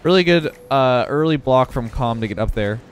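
A young man with a congested voice giving relaxed running commentary on his own video game match. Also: medium pitch, moderate pace, a little hiss or hum. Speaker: gaming video creator. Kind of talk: live gameplay commentary.

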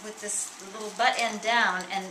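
A woman who runs a farm stand, explaining her growing methods in a measured, practical, step-by-step way. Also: medium pitch, fairly even intonation. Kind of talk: casual explanation to a visitor. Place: inside a small farm stand. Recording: distant voice, echoey room, loud noise.

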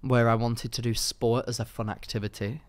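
A British man in his twenties co-hosting a podcast in casual chat, expressive and given to exaggeration, on a close studio microphone. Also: medium pitch, normal speed. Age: 20s. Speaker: podcast co-host. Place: small office-style studio, indoors. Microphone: close podcast microphone on stand.

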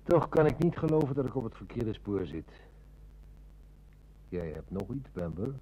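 An actor playing a shrewd, commanding police inspector in a Dutch radio play, with an authoritative, probing manner. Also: male voice, lowish voice, sing-song, moderate pace, warm tone, poor audio. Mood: probing, wry.

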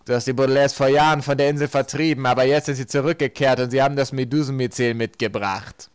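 Man playing a loud, sneering villainous count. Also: mid-range voice, steady tone, clean tone, no room sound, clean studio sound, natural voice. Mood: smug. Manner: mocking, drawn-out, declamatory delivery.

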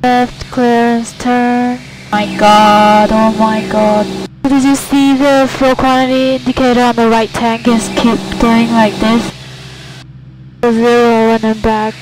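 High-voiced young woman talking while flying. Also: slow pace. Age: young adult. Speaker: student pilot and vlogger. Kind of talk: casual self-talk while flying, reciting checklists. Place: small airplane cockpit in flight. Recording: steady cockpit engine noise.

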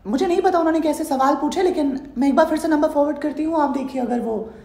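A man's voice: extremely high pitch, quick pace, gravelly, a little mellow, pitched above the performer's own voice. A man voicing a polite, patient female call-centre agent in a prank phone call. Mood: apologetic, reassuring. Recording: roomy indoor echo.

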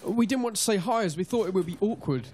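A man's voice: high-pitched, lively intonation, quick pace, darkish tone. A man playing a polite, friendly young man coaxing his friend along in an amateur radio play. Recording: faint background noise.

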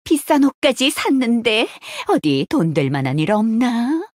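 A woman's voice: a little high, sing-song, unhurried, dry recording, studio quality. A woman voicing a brash, money-hungry young female mercenary leader in a game, with cocky, theatrical taunts. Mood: cool, businesslike. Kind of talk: Korean mobile game character voice lines.

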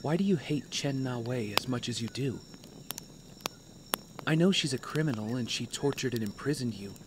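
Actor as young male trainee, mid-pitched, earnest, curious, conversational audio drama delivery, clear outdoor insect noise. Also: animated, normal speed, lightly acted. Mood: curious, careful. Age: young adult. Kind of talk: naturalistic audio drama acting.

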